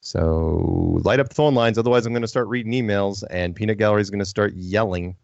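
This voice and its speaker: A man's voice: lowish voice, fairly quick, gravelly, darkish tone, no room sound. A man hosting a live call-in radio show, chatting casually with dry, self-deprecating humour. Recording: dull, lo-fi broadcast sound.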